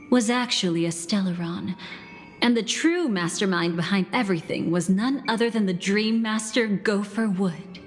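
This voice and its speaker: Woman acting as wistful female game narrator, measured storytelling, long reverberant tail, music underneath. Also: mid-range voice, close to an everyday voice. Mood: measured, revealing. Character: soft-spoken woman narrator recalling bittersweet memories.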